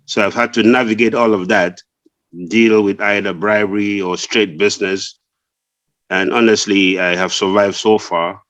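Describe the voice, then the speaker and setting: A man from Cameroon who runs a food import business introduces himself on a webinar panel. His voice sits very low in pitch. He speaks in a measured, reflective way, and the sound is dry and close-miked. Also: steady tone, a bit bright, no room sound, low-quality recording.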